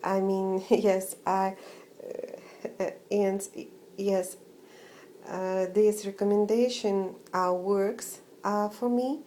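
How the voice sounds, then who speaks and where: A woman whose first language is Russian speaks slowly and haltingly, often restarting her sentences and filling gaps with "uh". Her voice is soft and mellow, with a faint hiss underneath. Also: mid-range voice, little pitch movement. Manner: hesitant, many fillers and restarts. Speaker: orchid-growing vlogger. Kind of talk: casual home vlog.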